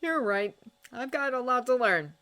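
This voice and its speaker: A woman's voice: fairly high pitch, animated, a little slow, bright, forceful tone, pitched above the performer's own voice. A woman voicing an awkward, joking young blacksmith, in a fan fiction reading. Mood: playful. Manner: playful, defensive.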